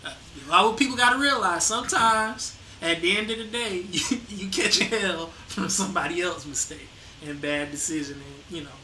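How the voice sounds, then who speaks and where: A man's voice: high voice, normal speed, few pauses, sharp tone. A young man talking casually as a guest, hesitant and laid-back, restarting his sentences often. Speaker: guest, the host's son. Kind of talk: casual conversation. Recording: echoey room with faint noise.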